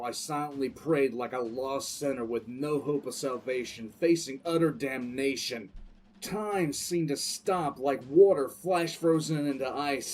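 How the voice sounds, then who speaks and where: A man playing a contemptuous military commissar who tells his own story in grim, deliberate dramatic narration. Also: medium pitch, darkish tone, close to an everyday voice. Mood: building intensity. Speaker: narrator and lead character. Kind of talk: first-person dramatic audio drama narration.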